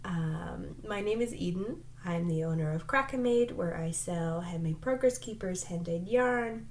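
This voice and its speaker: A woman's voice: fairly low pitch, unhurried, a little mellow. A woman hosting her crafting podcast in casual vlog chat, her voice stuffy and a little nasal from a cold, chatty and self-deprecating with lots of ums and likes. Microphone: desk microphone.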